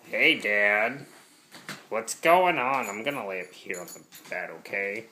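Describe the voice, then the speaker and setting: Bright-voiced young man vlogging with dogs. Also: on the low side, lively intonation, measured pace, a little hiss or hum. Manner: upbeat, coaching, talks to his dogs. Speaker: dog trainer vlogger. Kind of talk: casual vlog narration. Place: gym, park and home, handheld camera. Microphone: handheld camera mic.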